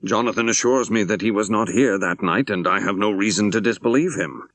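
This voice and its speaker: A careful, troubled male bishop, played by a male narrator speaks in a lowish voice with a dry, close sound. His phrasing is formal, deliberate and a little hesitant, as in an audiobook mystery. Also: fairly bright tone, no echo. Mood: troubled, uneasy.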